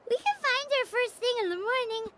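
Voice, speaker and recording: A woman voicing a tiny, childlike female fairy companion in a video game, chirpy, earnest and expressive, with a squeaky voice. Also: fast talker. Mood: sleepy, agreeable. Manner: expressive, exclaiming. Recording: voice over loud game music.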